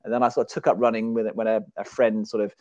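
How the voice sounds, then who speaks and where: Low-voiced man in his forties. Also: level tone, fast, mellow, no room sound, muffled recording. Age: 40s. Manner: chatty and self-deprecating, often restarting sentences. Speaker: guest, author and runner. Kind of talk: casual podcast conversation.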